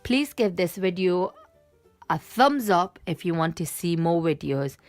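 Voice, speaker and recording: A woman's voice: on the low side, animated, a little slow, no room sound, soft background music. A woman narrating a children's read-along storybook. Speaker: storybook reader.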